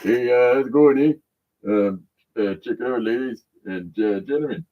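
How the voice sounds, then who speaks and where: A man's voice: medium pitch, normal speed, far from the mic, lo-fi sound, lightly acted. A man voicing a laid-back, friendly male pet with a folksy, casual Canadian drawl. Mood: easygoing.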